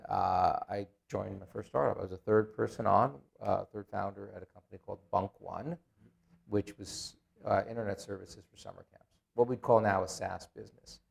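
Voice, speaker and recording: A man's voice: on the low side, steady tone, normal speed, gravelly. A middle-aged man with an Israeli accent, a guest telling his story with dry, self-deprecating humor. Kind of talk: casual interview.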